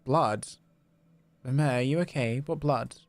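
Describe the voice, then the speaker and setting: A man voicing a small, excitable, inquisitive female game companion who exclaims and asks questions. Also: mid-range voice, a little slow, dry recording, natural voice. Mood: puzzled, worried.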